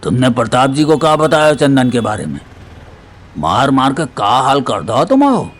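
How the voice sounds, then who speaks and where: Worried dad role, male actor: middle-aged, mid-pitched, beseeching and uneasy, radio-play style. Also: sing-song, normal speed. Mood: worried, reproachful. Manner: pleading, anxious, bewildered. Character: frightened, pleading father of a grown daughter. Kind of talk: Hindi audio drama acting. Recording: music bed under the voice.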